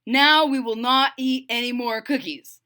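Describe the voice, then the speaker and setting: A woman voicing a sensible, determined male frog in a children's storybook, speaking in emphatic, deliberate statements. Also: high-pitched, steady tone, pushed and bright. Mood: firm, satisfied. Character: sensible, determined male frog, gently instructing his friend. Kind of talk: children's storybook read-aloud.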